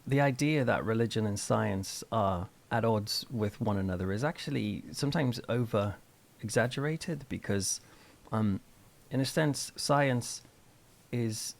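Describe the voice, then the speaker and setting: A man's voice: lowish voice, a little slow, gravelly. A middle-aged man speaking as an interview guest, explaining ideas in a thoughtful, discursive, conversational way. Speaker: interview guest, church minister and author.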